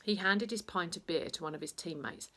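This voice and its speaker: A woman narrating a children's bedtime story in an expressive, theatrical way, playing up the sound words. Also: fairly low pitch, fairly quick, slight background noise.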